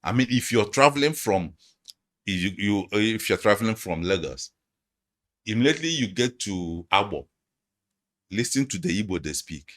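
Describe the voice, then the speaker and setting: A man speaks as a podcast guest, his voice sitting fairly low. He explains things emphatically, often repeating words and phrases as he builds his point. The talk is loose and conversational. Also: lively intonation, normal speed, long pauses, a bit bright. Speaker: guest analyst. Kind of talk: conversational interview.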